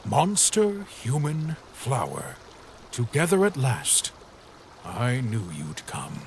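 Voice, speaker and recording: A man's voice: mid-range voice, wildly swooping pitch, slow pace, vocal fry, slight background noise, exaggerated voice. A man voicing a cryptic hooded ferryman in a fan comic dub, speaking in dreamy sing-song riddles. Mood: mysterious.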